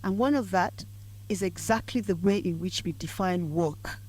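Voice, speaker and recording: A woman from Nigeria with a croaky, hoarse voice, giving a formal lecture speech in a measured, emphatic way. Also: fairly low pitch, darkish tone, low-quality recording. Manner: measured and emphatic, building to points. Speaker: guest speaker at a public lecture. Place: lecture event.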